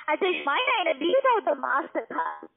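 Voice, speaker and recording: Very high-pitched female phone agent. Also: wildly swooping pitch, moderate pace, gravelly. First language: not German. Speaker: call centre sales agent. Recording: tinny phone line.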